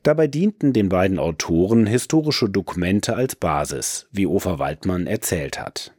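A man works as a radio presenter, delivering scripted narration. His voice sits very low but moves with lively, varied intonation. The sound is dry, with hardly any room in it. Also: normal speed, dry recording.

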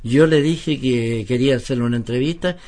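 A man's voice: mid-range voice, a little fast, a little mellow. A man with a Chilean accent hosting radio, talking conversationally and courteously. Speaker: radio host and interviewer. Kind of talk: conversational anecdote and interviewing.

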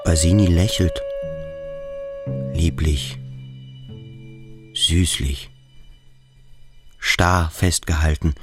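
A man giving scripted radio play narration in terse fragments with pauses between them. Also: deep voice, fairly even intonation, over music. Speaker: second narrator.

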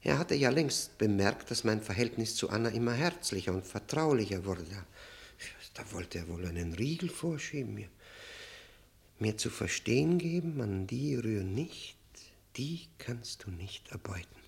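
An actor playing a haunted, agitated man confessing his past in a German radio drama, halting and brooding, swinging from emphatic outbursts to near whispers. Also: on the low side, expressive, gravelly. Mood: anguished, hushed.

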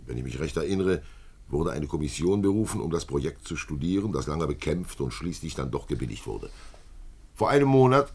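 An extremely deep-voiced police commissioner from a fifties radio crime play. He is blunt and unflappable, weighing out his words in a laconic, matter-of-fact manner. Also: breathy edge, exaggerated voice. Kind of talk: 1950s German radio drama acting.

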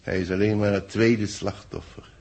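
An actor playing a grave, authoritative boss with a husky voice and solemn dramatic phrasing, in 1960s radio drama style. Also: male voice, lowish voice, flat delivery, normal speed, darkish tone. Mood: troubled, grave.

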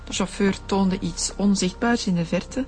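A woman's voice: medium pitch, a little slow, darkish tone, natural voice. Woman playing earnest, shaken Flemish witness. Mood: earnest recounting. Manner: defensive, earnest recounting. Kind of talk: radio drama acting.

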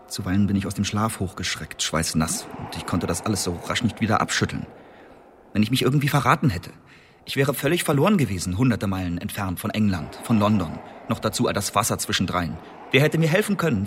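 A man's voice: mid-range voice, fairly bright tone, a little hiss or hum, natural voice. Man acting an anxious, eager Elizabethan secret agent. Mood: haunted, fearful. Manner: halting, self-justifying, with repeated restarts. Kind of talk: German radio drama acting.

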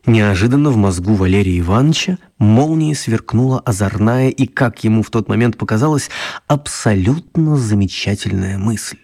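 A male actor as the storyteller of a children's radio play, narrating expressively in a husky voice. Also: lowish voice, normal speed, mellow. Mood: storytelling.

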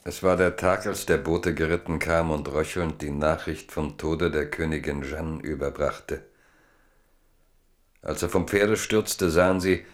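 Deep-voiced man narrating a drama. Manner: measured, dramatic storytelling. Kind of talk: literary radio play narration.

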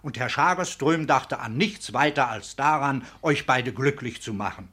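An actor playing an elderly provost and fatherly uncle in a German radio drama, husky and emphatic, given to indignation. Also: mid-range voice, moderate pace, pushed and bright. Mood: shocked, indignant. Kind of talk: German radio play acting.

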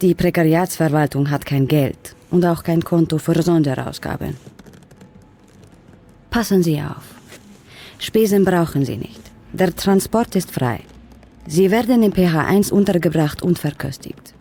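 An actress playing a composed, businesslike government official in a radio drama, measured, discreet and matter-of-fact. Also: female voice, on the low side, dark tone. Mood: businesslike, persuasive.

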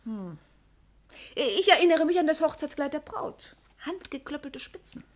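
An actress playing a detective's wry, long-suffering assistant in a 1960s radio crime drama, on an old recording. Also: female voice, sits high, expressive, moderate pace. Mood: wry, puzzled.

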